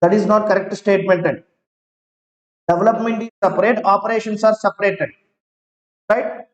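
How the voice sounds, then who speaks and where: Guy teaching tech online, high voice, says everything twice, echoey dull lo-fi sound. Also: steady tone. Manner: slow, repeats each phrase several times; asks students to confirm they follow. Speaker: IT trainer. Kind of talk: online class lecture. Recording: roomy, dull lo-fi online class audio.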